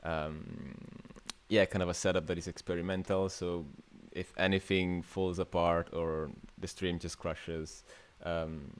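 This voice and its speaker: A man's voice: deep voice, flat delivery, measured pace, smooth. A man in his twenties with an Italian accent, hosting a casual livestream workshop in an informal, hesitant way, often thinking aloud. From Italy. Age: 20s. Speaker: workshop host, new media artist. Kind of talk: casual livestream masterclass, chatting with viewers. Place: small indoor room.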